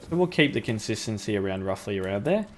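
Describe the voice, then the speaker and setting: A man's voice: fairly low pitch, sing-song, quick pace, slight background noise. A young man with a sore throat, casually talking through a factory-building game as the channel's host, thinking aloud with ums. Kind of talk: gameplay commentary.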